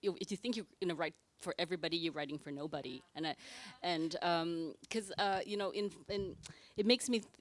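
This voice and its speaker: A woman from France moderating a library author talk, asking questions in a halting way with many fillers and restarts. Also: fairly low pitch. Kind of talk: conversational interview. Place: library event space with live audience.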